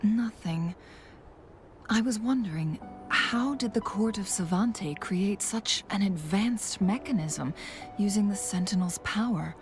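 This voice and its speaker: Actress as a serious, thoughtful young female game companion, lower-pitched, measured and earnest, with prominent music underneath. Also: darkish tone, close to an everyday voice. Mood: pondering. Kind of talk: scripted video game character dialogue.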